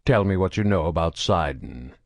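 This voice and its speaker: A man's voice: sits low, expressive, moderate pace, no echo, studio quality. A man doing a cold, curt alien interrogator. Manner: curt, quiet, menacing.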